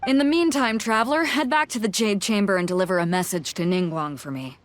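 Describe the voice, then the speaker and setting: A woman's voice: medium pitch, brisk, natural voice. An actress voicing a bold, confident female sea captain in a video game, blunt and commanding. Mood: matter-of-fact.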